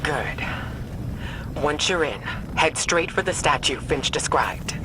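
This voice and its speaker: A woman playing a stern, composed commander and mentor in a video game cutscene, grave, measured and authoritative. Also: deep voice, expressive, background noise. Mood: commanding. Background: loud game soundtrack music.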